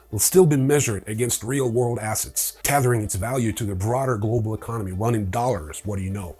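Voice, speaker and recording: A man hosting a news show with opinionated commentary, informal and sardonic in manner, with a husky voice. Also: medium pitch, a little mellow. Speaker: host of a crypto news show.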